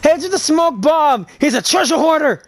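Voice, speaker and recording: A woman's voice: high-pitched, animated, moderate pace. A woman casually commenting and reading along while playing a video game. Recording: faint game music behind.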